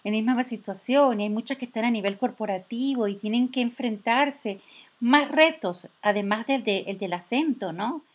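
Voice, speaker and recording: A woman's voice: fairly high pitch, normal speed, breathy edge. A woman host, earnestly sharing her own experiences and asking the guest questions. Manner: earnest, personal and anecdotal. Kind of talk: interview talk show.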